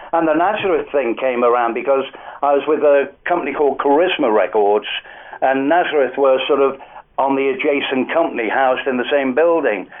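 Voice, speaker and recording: Older man, Liverpool accent, rambling anecdotal guest, telephone-quality audio. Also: mid-range voice. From Liverpool, England. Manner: rambling, anecdotal, many fillers. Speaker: music industry guest. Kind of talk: casual radio interview storytelling. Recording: tinny phone-like radio line.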